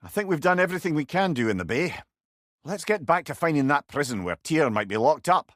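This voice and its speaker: A man's voice: a little high, big pitch swings, brisk, fairly bright tone, no echo, clean studio sound. An actor playing a witty, learned old male sage in a video game, with a Scottish accent and a wry, eloquent way of talking. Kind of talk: video game character dialogue.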